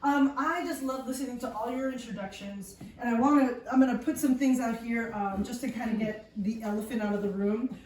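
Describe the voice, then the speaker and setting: A woman's voice: on the high side, fairly quick, few pauses, darkish tone. A woman speaking enthusiastically to a live group as a presenter, laughing often. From United States. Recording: roomy, distant mic, some noise.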